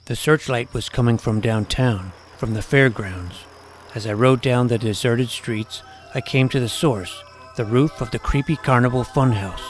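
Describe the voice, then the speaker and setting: A man playing an earnest, self-important junior high superhero who narrates his own adventure with mock-dramatic delivery. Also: normal speed, soft background music, close to an everyday voice. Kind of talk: scripted first-person story narration.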